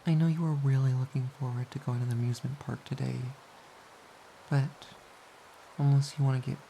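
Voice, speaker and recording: Low-voiced, mellow, teasing romantic partner played by a woman. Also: fairly even intonation, fairly quick, vocal fry. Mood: soft, apologetic. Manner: teasing, giggly, mock-pouty. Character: playful, teasing, affectionate romantic partner snuggling in bed. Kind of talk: intimate audio roleplay, soft casual pillow talk. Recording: faint background hiss.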